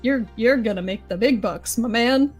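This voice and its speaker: A woman's voice: on the high side, moderate pace, a little mellow, lightly acted. A woman voicing a confident, flirty cartoon bat, teasing and theatrical as she reads lyrics. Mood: playful, teasing. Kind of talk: playful character reading of musical lyrics. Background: music.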